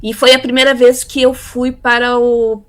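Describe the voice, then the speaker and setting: A woman in her fifties from Brazil, telling her life story in a relaxed interview, pausing often with fillers and repeated words. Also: fairly high pitch. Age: 50s. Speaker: interviewee, theology graduate. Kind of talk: casual conversational interview.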